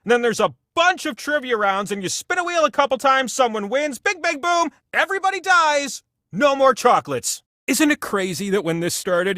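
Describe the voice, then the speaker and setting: A man's voice: very high-pitched, pushed and bright, dry recording, clean studio sound, exaggerated voice. A man voicing a giant, ancient talking wheel in a video game, a jovial, grandiose and needy godlike host with a chatty, theatrical delivery. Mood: chatty, cheerful. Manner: chatty, theatrical, swings between gushing and mumbled asides. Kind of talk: comic video game character monologue.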